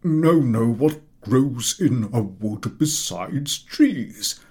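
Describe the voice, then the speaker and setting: A man's voice: a little high, big pitch swings, a little mellow, husky, pitched above the performer's own voice, full character voice. A man putting on a pompous old professor. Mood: coaxing. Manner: drawn-out, coaxing schoolmaster questioning. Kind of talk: audiobook character voice.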